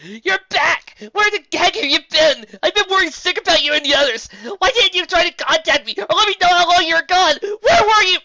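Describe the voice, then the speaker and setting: A woman voicing an excitable, affectionate young male baby dragon in a cartoon fan story, loud and emotional. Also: a little fast, few pauses, dry recording, highs cut off, exaggerated voice. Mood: tearful, upset. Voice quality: high-pitched, loud. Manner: emotional, exclaiming.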